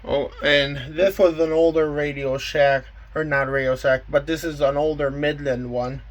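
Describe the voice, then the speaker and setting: Man, slightly higher-pitched voice, plain and matter-of-fact, informal hobbyist demo. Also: level tone, normal speed. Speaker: collector presenting a weather radio collection. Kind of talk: casual show-and-tell demonstration.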